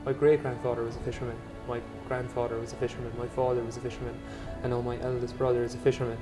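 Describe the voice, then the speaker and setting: A young Irish restaurant owner talking in a relaxed, hesitant way during a seated interview in his restaurant. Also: medium pitch, very fast, over music. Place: indoor restaurant.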